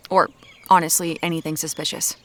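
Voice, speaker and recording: An actress playing a determined, blunt amateur detective in an audio drama, matter-of-fact and wry. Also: female voice, deep voice, level tone, fairly quick, natural voice. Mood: wry, focused.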